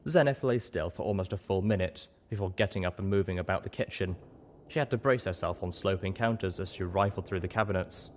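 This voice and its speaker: Casting: male audiobook narrator, low voice, scripted first-person storytelling, some noise behind. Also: fairly quick.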